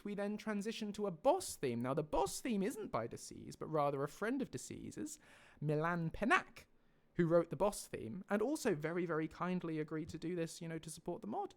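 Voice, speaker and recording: A man hosting a livestream, casually explaining updates to viewers in an enthusiastic, rambling way. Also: on the high side. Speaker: mod creator and livestream host.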